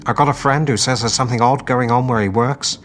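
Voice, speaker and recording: A man plays a level-headed army sergeant in an audio drama. He has a medium-pitched voice and talks in an informal, earnest, matey manner. Faint noise runs behind him. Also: fairly even intonation, fast, fairly bright tone, natural voice. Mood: casual, hopeful. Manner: informal, plain-spoken, earnest.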